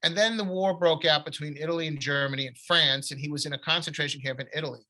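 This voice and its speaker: Middle-aged New man, author, bright voice, rambling storyteller. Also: on the high side, steady tone, fast talker. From United States. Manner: long rambling anecdotes with restarts. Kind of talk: conversational storytelling.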